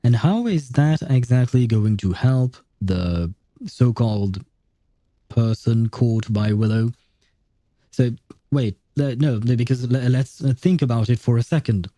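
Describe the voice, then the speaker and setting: A man's voice: mid-range voice, a little slow, dark tone, no echo. A man reading a game story aloud and adding casual, sardonic commentary as a streamer. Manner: sardonic asides. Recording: background music behind voice.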